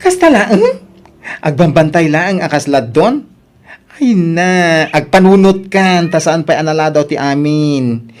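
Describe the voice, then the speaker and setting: A woman's voice: sits low, sing-song, a little slow, a little mellow. Woman acting nagging, skeptical Filipina older sister. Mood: scolding. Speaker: actor in audio drama. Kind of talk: Ilocano radio drama acting.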